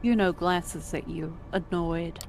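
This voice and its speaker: Lower-pitched woman narrating a story. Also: steady tone, takes it slowly, a little mellow, a little hiss or hum. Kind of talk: scripted second-person story narration.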